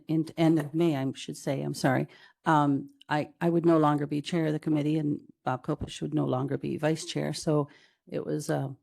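A woman's voice: low-pitched, gravelly, dark tone. A woman speaking informally as a committee chair in a meeting, conversational and repeating words for emphasis. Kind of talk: informal spoken report in a meeting. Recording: public meeting room recording.

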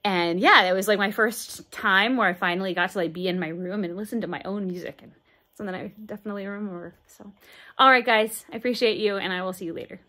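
Halting woman vlogging from her bedroom. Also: medium pitch, sharp tone. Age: 30s. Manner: halting, searching for words, many fillers. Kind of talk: casual daily video diary. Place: bedroom indoors, moving boxes behind. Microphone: phone held at arm's length.

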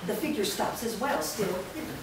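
A woman's voice: on the high side, expressive, fairly quick. A woman reading scripted stage directions aloud as the narrator of a staged reading. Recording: echoey room, far mic, clear noise.

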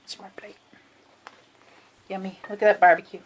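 A woman's voice: medium pitch, little pitch movement, husky. A middle-aged woman narrating a casual home video of a family dinner, listing the food with many fillers, on a handheld camera. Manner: chatty, slow, lists food with fillers. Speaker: vlogger filming family dinner. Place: family kitchen and dining room indoors.

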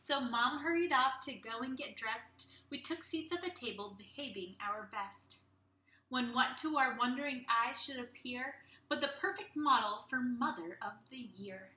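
Bright, high-voiced young woman reading to children. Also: moderate pace. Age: young adult. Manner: animated, expressive, addressing children directly. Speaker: children's teacher reading aloud. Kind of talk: storybook read-aloud for young children. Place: small room at home. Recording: roomy, echoey home webcam sound.

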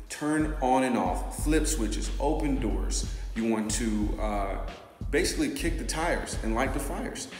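A man in his thirties giving real estate tips to the camera in an upbeat, chatty way, filming himself on a phone held at arm's length as he walks through an empty house. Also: fairly low pitch. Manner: upbeat, chatty, emphatic repetition. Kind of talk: walkthrough vlog, tips to camera. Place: empty house, bare rooms. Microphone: handheld phone at arm's length. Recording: echoey empty rooms with long reverb.

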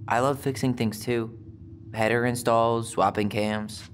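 A man's voice: medium pitch, fairly even intonation, a little slow, slight background noise, close to an everyday voice. An actor playing an easygoing, sensitive young American man in a video game, speaking casually and a little wistfully. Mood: light, earnest. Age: young adult. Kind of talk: naturalistic video game dialogue.

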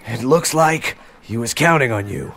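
An actor playing a blunt, brash samurai warrior with a challenging manner in a video game. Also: male voice, mid-range voice, animated, normal speed, a bit bright. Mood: stern. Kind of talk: dramatic video game acting.

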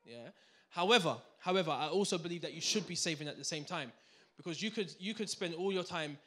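A man gives a seminar talk on a handheld mic in a community hall. He speaks fast in a slightly high voice, animated and keen to draw in the crowd. He keeps checking in with "right? yeah?" as he goes. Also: a little hiss or hum. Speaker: finance speaker. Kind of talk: lively seminar talk to an audience. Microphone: handheld microphone.

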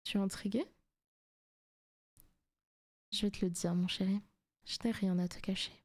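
A woman playing a seductive, possessive demon in a soft, teasing ASMR roleplay, often slipping into whispers. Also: medium pitch, fairly even intonation, moderate pace, slightly rough, close to an everyday voice. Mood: sly, menacing. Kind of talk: ASMR roleplay, intimate scripted monologue.

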